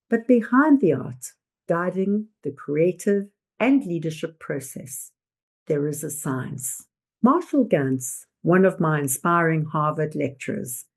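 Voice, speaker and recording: A woman presenter delivering scripted motivational narration in a deliberate, emphatic, oratorical manner. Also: deep voice, a little mellow. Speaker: host and presenter.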